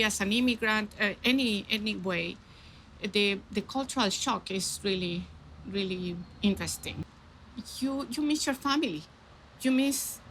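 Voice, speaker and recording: Gravelly-voiced woman, earnest. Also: medium pitch, fairly bright tone, a little hiss or hum. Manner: earnest, repeating words as she searches. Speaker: interviewee. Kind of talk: documentary interview.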